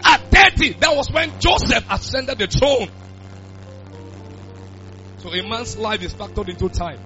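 Pastor guy in his late 20s, high lively voice, preaching loud, kinda muffled with music under it. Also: measured pace. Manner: preaching, bursts of shouting. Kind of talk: sermon. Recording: dull lo-fi sound with music.

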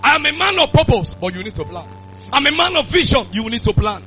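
A man, preaching a sermon with loud bursts of emphasis. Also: very high-pitched, big pitch swings, quick pace. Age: 20s. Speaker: pastor. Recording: dull lo-fi sound with music.

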